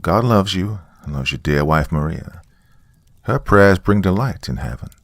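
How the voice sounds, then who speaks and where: A man's voice: sits low, animated, a little slow, no echo, exaggerated voice. A man voicing a tiny, kindly female angel who makes serene, assured proclamations in a supernatural story. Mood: urgent, kindly.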